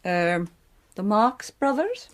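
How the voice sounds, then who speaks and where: A woman playing a calm, gently wry character at home in a British radio drama, conversational and gently probing. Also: medium pitch, slow.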